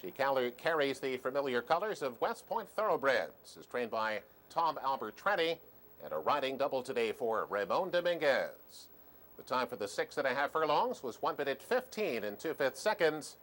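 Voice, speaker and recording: A man's voice: mid-range voice, very animated, normal speed, a bit bright. A man announcing a race result in a formal, announcing manner.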